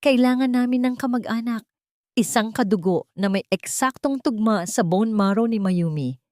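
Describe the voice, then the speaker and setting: A woman acts out a tired doctor in an audiobook drama. The manner is grave and urgent, the pitch raised and the sound dry. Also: moderate pace, darkish tone, no room sound, close to an everyday voice. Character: serious, tired, hesitant doctor.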